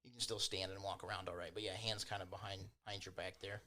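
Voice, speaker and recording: A man's voice: low voice, little pitch movement, fast, bright, forceful tone. A man running a tabletop game as game master, narrating in an informal, improvised, chatty way. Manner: informal, chuckles while narrating.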